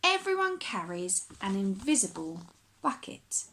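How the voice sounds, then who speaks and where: A woman reading a children's picture book aloud like a primary teacher, with expressive, deliberate phrasing for young listeners. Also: mid-range voice, low-quality recording.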